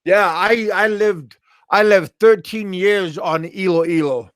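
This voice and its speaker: A middle-aged American man chatting casually on a live stream, halting and repeating his words. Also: high voice, smooth, no echo, studio quality. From USA. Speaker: livestream host.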